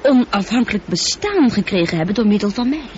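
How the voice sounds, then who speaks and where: Woman acting as super smart ship computer lady, measured and humanlike, old radio play, muffled with faint noise. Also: medium pitch, expressive, darkish tone, natural voice. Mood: calm, analytical. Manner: measured, articulate, explanatory. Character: highly intelligent female spaceship computer, calm, teasing, humanlike. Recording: dull noisy old radio play recording.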